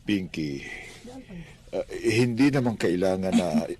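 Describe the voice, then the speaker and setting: An actor playing a kindly, devout middle-aged Filipino father and landlord in a radio drama, speaking gently and earnestly with small hesitations. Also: low voice, animated, takes it slowly, a little hiss or hum. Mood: tender.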